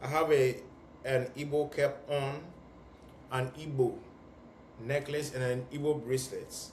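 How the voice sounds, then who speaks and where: A young man from Ghana and Sierra Leone talks straight to the camera in an earnest, emphatic way, leaning on repeated phrases to drive his point home. His voice sits at an ordinary middle pitch. It bounces around an echoey indoor room with a faint hiss underneath. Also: steady tone, unhurried, a little mellow. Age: young adult. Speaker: vlogger. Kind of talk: heartfelt monologue to camera.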